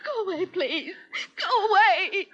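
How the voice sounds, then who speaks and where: A panicked, tearful female victim in vintage radio drama. The voice is extremely high and bright, shaking with hysterical fear. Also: expressive, unhurried, full character voice. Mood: hysterical terror. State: crying, panicked. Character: hysterical, terrified actress who fainted. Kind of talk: 1950s radio drama acting. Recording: old 1950 radio broadcast.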